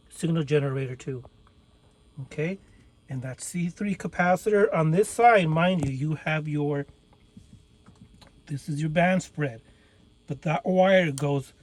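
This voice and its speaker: A man presenting an informal hands-on technical demonstration, explaining step by step in halting, instructional phrases. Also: on the high side, darkish tone, breathy edge. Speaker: tutorial presenter, radio hobbyist.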